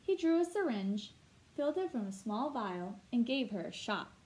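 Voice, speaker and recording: A woman with a fairly high voice reads a children's story aloud. She narrates expressively and articulates for young listeners, stepping out now and then to explain, as a teacher would. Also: very animated, normal speed, a little mellow, low-quality recording. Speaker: teacher reading a picture book aloud. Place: indoor room at home.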